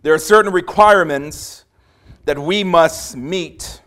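Passionate big-voiced preacher from Trinidad. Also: male voice, a little high, slow. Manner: passionate, grows louder as he preaches. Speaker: visiting preacher. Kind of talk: sermon. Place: small room.

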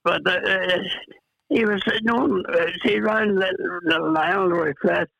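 An older man from Ireland with a cold, reminiscing as an interview guest, halting with many restarts and fillers. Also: sits high, lively intonation, vocal fry, no room sound, muffled recording. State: has a cold, clears throat. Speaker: retired golfer, guest.

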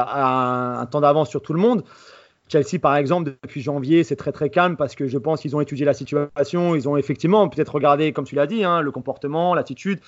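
A man's voice: fairly high pitch, highs cut off. A man who is a football journalist, giving assertive analysis on a podcast. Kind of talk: podcast discussion.

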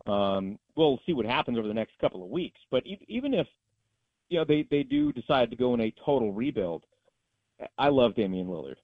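A man's voice: mid-range voice, big pitch swings, brisk, no room sound. Dull-sounding line, emphatic male sports announcer. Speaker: sports play-by-play announcer as guest. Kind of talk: conversational sports analysis.

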